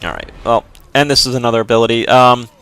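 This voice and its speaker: A man's voice: medium pitch, quick pace, fairly bright tone, clear, resonant tone. A man casually commenting over his gameplay, explaining abilities as he plays with frequent restarts. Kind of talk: casual let's-play commentary.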